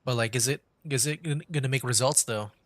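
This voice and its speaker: A man's voice: mid-range voice, a little fast. A young man streaming a game with casual, hesitant commentary, rambling and repeating his words. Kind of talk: casual live gaming commentary. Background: game music.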